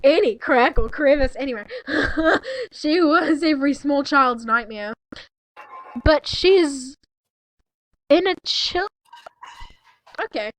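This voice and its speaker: A woman's voice: high voice, expressive, slow pace, long pauses, no echo, low-quality recording. A young woman reading a story aloud in a casual, incredulous way, breaking off into informal asides. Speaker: co-host reading fan fiction aloud. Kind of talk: casual read-aloud with mocking commentary.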